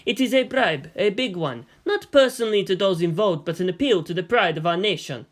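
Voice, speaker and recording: Man playing high-voiced, diplomatic ambassador. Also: lively intonation. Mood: earnest. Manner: formal, measured, diplomatic. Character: deferential, persuasive male ambassador, calm and earnest. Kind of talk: dramatized storybook reading.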